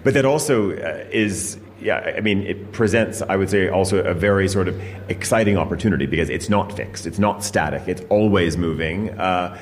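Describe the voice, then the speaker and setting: A man's voice: deep voice, moderate pace. A man hosting a live panel, asking rambling questions full of fillers and hedges. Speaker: host. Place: hotel hall with audience. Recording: long reverb of a hotel hall with faint noise.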